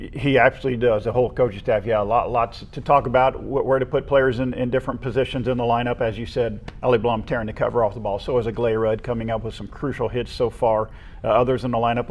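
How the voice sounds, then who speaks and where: A man with a mid-range voice co-hosts a sports talk show. He gives conversational analysis in short, clipped phrases, often running through points like a list. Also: mid-range voice.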